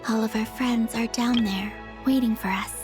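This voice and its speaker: An actress playing a serene, quietly curious young moon maiden in a video game, with a soft, calm, unhurried delivery. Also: female voice, a little high, natural voice. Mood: gentle reassurance. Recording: music behind the voice.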